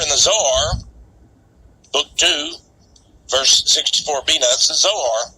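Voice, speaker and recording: Bright, forceful man reading out polemic. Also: medium pitch, a little hiss or hum, rough recording. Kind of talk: polemical talk reading from a list.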